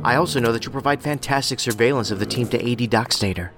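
A man's voice: mid-range voice, fast talker, a bit bright, soft background music, natural voice. A man playing a quirky, tactless federal agent in an audio drama, talking in a rambling, pedantic, matter-of-fact way. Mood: teasing, casual.

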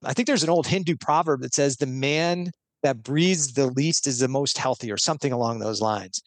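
A man's voice: mid-range voice, normal speed, no echo. A man talking as a guest expert on a podcast, explaining earnestly step by step with a few restarts.

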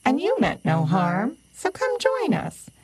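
A woman's voice: high-pitched, huge pitch swings, slow, darkish tone, breathy edge, no room sound, pitched above the performer's own voice, exaggerated voice. A woman voicing a jolly, grinning male pumpkin trickster who talks in a coaxing, persuasive way. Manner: sing-song, coaxing.

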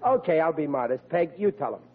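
Blustering husband on tinny old radio. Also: male voice, a little high, expressive, a little fast. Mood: boastful. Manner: blustering, folksy, ungrammatical. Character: blustering, boastful, dim but good-hearted husband. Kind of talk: 1940s radio comedy acting.

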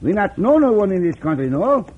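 An actor playing a simple, cheerful Mexican-accented sidekick speaking childlike broken English in an old radio western. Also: male voice, high-pitched, sing-song, brisk, breathy edge, exaggerated voice. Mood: simple, jolly. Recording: noisy, tinny old radio recording.

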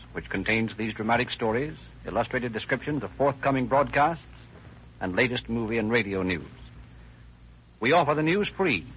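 Formal American radio announcer on muffled vintage recording. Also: male voice, mid-range voice, fairly bright tone, a little hiss or hum. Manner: polished, declamatory. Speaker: narrator and sponsor announcer. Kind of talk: formal 1930s radio narration. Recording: dull old radio recording. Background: music.

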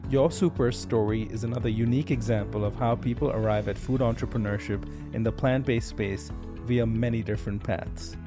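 Podcast host man, easygoing questions. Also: mid-range voice. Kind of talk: scripted intro then casual interview. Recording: intro with guitar music.

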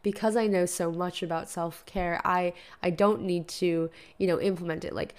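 A woman's voice: lowish voice, steady tone, normal speed, smooth. A young woman hosting a solo podcast, talking casually with a stuffy nose and sore throat, filling her talk with "like" and "you know". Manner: chatty, lots of "like" and "you know". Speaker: podcast host.

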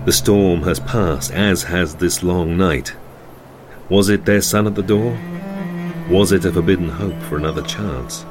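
A man's voice: sits low, a little slow. A man playing a brooding horror anthology host, narrating in a solemn, portentous, scripted style. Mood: ominous, solemn. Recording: music under the narration.

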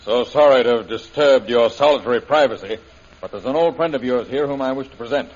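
A husky-voiced warlord villain, acted by a man in a 1930s radio serial. He gloats and swaggers, mocking his enemies. The old recording is dull and muffled. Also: mid-range voice, a little fast, lightly acted. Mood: smug, mocking. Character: gloating male warlord villain plotting to rule China.

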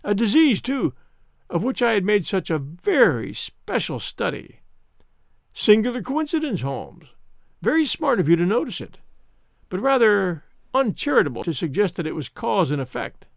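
A man's voice: sits high, sing-song, moderate pace, vocal fry, no room sound, clean studio sound, voice pushed up, heavily acted. A man voicing a smug, cruel villain who taunts and sneers in a dramatized audiobook reading. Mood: indignant, sneering. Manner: taunting, insistent, mock-concerned.

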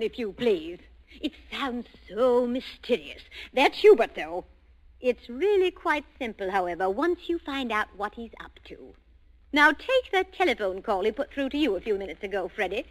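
A bossy, self-assured wife in 1940s radio style. Her voice sits a little high and moves very freely, with a brisk, domineering way of talking. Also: rough recording. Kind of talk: 1940s radio drama acting.

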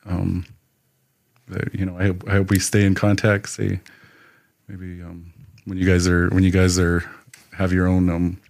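A man who is a podcast guest, talking in a hesitant, halting way in casual chat in a studio. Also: low-pitched, completely flat delivery, gravelly, a little mellow. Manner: hesitant, halting, lots of restarts.

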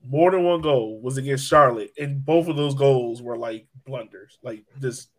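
A man's voice: mid-range voice, normal speed. A man hosting a soccer podcast, talking casually and conversationally, often saying "like" and "you know". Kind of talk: casual sports talk.

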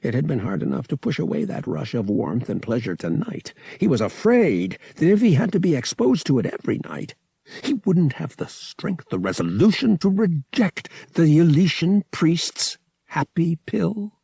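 A man narrating fiction as an audiobook reader, with an expressive, theatrical storytelling manner. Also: fairly high pitch, moderate pace, vocal fry, slightly rough, no echo. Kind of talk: dramatic scripted fiction narration.

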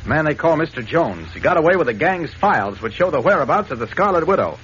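Worried urgent hero type, old radio serial, higher voice, talks fast, bit of hiss. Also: male voice, big pitch swings, fairly bright tone. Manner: urgent, insistent. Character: worried, persistent man in his office guise. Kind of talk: 1940s radio acting. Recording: muffled, hissy old radio recording.

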